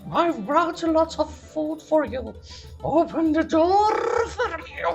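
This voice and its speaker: A woman's voice: high voice, animated, slow pace, creaky, a little mellow, lo-fi sound, faint music behind, pitched above the performer's own voice, heavily acted. A woman voicing a sly male wolf who fakes a mother's voice in a storybook, with coaxing, falsely sweet delivery.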